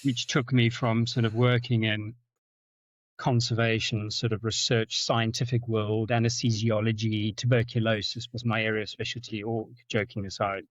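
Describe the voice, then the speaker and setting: A middle-aged man with a Zimbabwean-South African accent, telling stories as a podcast guest, often repeating words and jumping up in pitch for anecdotes. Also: level tone, normal speed, dry recording. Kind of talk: storytelling in conversation. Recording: dull, dry lo-fi sound.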